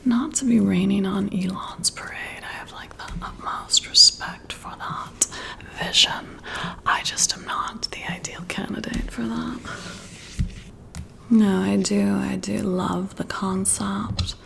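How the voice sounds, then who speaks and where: A woman doing soft-spoken ASMR, talking in a hushed, intimate, musing way as if chatting to one listener. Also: mid-range voice, fairly even intonation, slow pace, gravelly. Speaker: ASMR roleplay performer. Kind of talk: soft-spoken whispered ASMR, casual one-sided chat.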